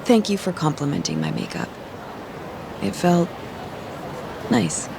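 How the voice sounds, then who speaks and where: A woman's voice: lowish voice, huge pitch swings, measured pace, gravelly, noisy background, full character voice. A woman voicing a reserved, composed and resolute young woman in a video game dub, terse and understated. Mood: cool, reserved. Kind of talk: English game dub acting. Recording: music playing behind the voice.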